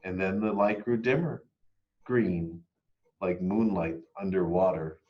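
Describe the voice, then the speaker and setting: A man reading a children's picture book aloud expressively, moving between hushed and emphatic delivery. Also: low voice, unhurried, muffled recording. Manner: dramatic, hushed and emphatic by turns. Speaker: read-aloud storyteller.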